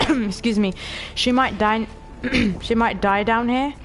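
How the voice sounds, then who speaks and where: A woman's voice: a little high, slow, a little hiss or hum. Sniffly teenage girl streaming a game. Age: late teens. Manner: chatty, excitable, swears casually, talks to viewers. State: stuffy nose, sniffly. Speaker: gaming streamer. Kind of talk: casual let's-play commentary.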